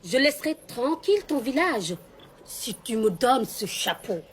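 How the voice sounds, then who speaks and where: A woman playing a cunning, imperious sorceress in an animated film, sly and commanding as she bargains. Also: fairly high pitch, unhurried, a little hiss or hum. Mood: scheming.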